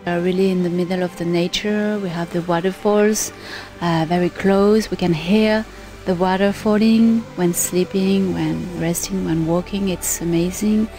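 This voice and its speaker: A woman's voice: lowish voice, steady tone, unhurried, mellow. A woman giving a testimonial as a guest in a promotional retreat video. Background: faint music.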